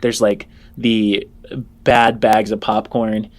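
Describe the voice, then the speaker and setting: A man's voice: lowish voice, level tone, slow, a little mellow. A man narrating a tabletop game casually as game master, rephrasing as he improvises his descriptions.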